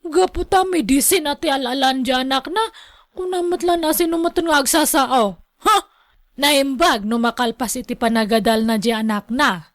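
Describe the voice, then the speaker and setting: A woman plays a nagging middle-aged Ilocano mother in a radio drama. Her voice is fairly high and closely recorded with little room sound, and she scolds and grumbles with a strict, envious temper. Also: normal speed, no echo, clean studio sound, close to an everyday voice.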